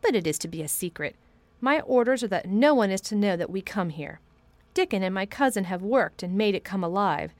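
A woman voicing a proud, imperious sickly boy who speaks in sharp, commanding tones, as she reads a storybook aloud. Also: medium pitch, animated, a little fast, dry recording, close to an everyday voice.

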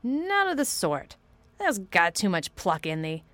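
A woman's voice: fairly high pitch, sing-song, normal speed, fairly bright tone, dry recording, pitched above the performer's own voice. A woman voicing a crabbed old male gardener in broad Yorkshire dialect, dry and blunt, as she reads a storybook aloud. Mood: gruff.

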